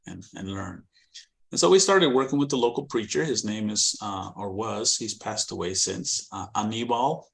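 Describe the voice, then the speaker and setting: A middle-aged man with a Californian American accent, a missionary guest telling his story in an interview, reflective and hesitant with many pauses and restarts. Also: fairly low pitch, gravelly, a bit bright. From born in Guatemala, raised in California.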